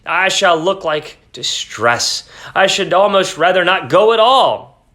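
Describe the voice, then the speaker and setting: A man's voice: sits high, animated, unhurried, pushed and bright, voice pushed up, full character voice. A man voicing a proud, discontented young wife. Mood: plaintive. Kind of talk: dramatic reading.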